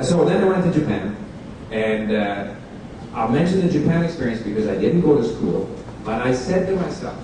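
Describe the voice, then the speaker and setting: Man speaking in an echoey bookstore. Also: mid-range voice. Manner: relaxed, chatty, switches languages, asks the audience questions. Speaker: speaker giving a talk on language learning. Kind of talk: informal public talk to an audience. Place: bookstore event space. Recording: echoey room recorded from far off, background noise.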